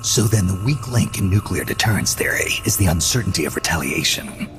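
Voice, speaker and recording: An actor playing a grim, terse soldier in a game cutscene, with a gruff, breathy half-whisper and a brooding manner. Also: male voice, deep voice, animated, fairly quick. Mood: skeptical. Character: grim, terse male soldier questioning gravely. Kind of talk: dramatic game cutscene dialogue. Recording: prominent music under the voice. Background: prominent background music.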